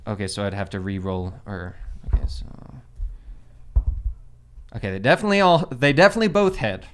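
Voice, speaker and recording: A man's voice: lowish voice, a little fast. A man chatting casually as a player in a tabletop role-playing game.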